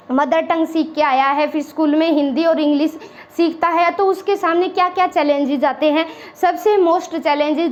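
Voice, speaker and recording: A woman's voice: high voice, lo-fi sound. A woman teacher giving an exam-prep lecture, mixing English words into Hindi and checking in with "ठीक है" again and again. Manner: explains in Hindi mixed with English words, repeats "ठीक है" often.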